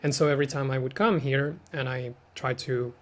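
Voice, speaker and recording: Thoughtful man, mid-pitched. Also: steady tone, a little fast. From Ukraine. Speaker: podcast guest, artist and language teacher. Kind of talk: casual reflective conversation.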